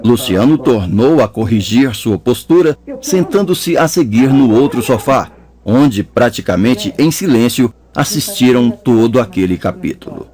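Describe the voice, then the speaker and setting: Guy reading an audiobook, mid-pitched voice, steady and measured. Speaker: audiobook narrator. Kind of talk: scripted audiobook narration.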